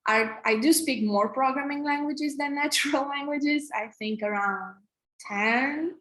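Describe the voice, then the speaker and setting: A Romanian woman in her thirties teaches a coding class over a video call, with a Romanian accent. She speaks slowly, pausing on fillers and restarting her sentences. Her voice carries the reverb of an echoey room. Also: sits high, fairly bright tone. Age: 30s. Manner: slow, halting, many fillers and restarts. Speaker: coding instructor. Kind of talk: live online coding class.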